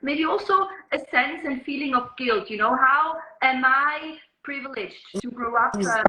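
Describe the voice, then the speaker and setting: A woman's voice: high voice, expressive, measured pace, sharp tone, reverberant, slight background noise, low-quality recording. A woman from Switzerland with a Swiss accent whose first language is Swiss German, speaking as a journalist guest in an interview, thoughtful and full of ums and uhs. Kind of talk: interview conversation.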